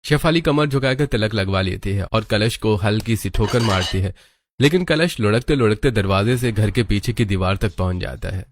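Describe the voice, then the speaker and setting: Low-voiced man narrating a story. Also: moderate pace.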